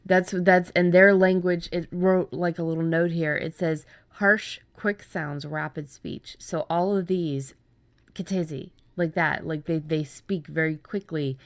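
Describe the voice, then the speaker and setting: A woman's voice: fairly low pitch, fairly even intonation, unhurried, no echo. A woman co-hosting a casual writing workshop chat, speaking informally and often breaking off and restarting her thoughts. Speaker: podcast co-host and writer.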